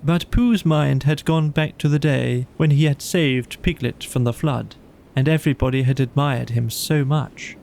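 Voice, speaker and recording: A man's voice: medium pitch, a little slow, gravelly, darkish tone, a little hiss or hum. A man narrating a children's bedtime story as an audiobook reader.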